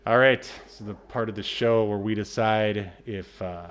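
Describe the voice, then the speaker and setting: A man's voice: lowish voice, steady tone, moderate pace, slight background noise. A man co-hosting a podcast, chatting casually in an unscripted way. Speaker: podcast co-host. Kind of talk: casual chat about a film.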